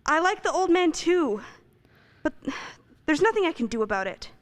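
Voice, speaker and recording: Woman acting brisk, high-pitched female prosecutor. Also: sing-song. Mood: exasperated, defensive. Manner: clipped, assertive questioning. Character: brisk, defensive female assistant district attorney. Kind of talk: stage-style radio play acting.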